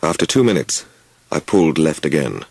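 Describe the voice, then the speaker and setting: Very deep male narrator, gravelly. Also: big pitch swings, moderate pace, darkish tone, dry recording. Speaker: audiobook narrator. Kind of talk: scripted dramatic first-person story narration.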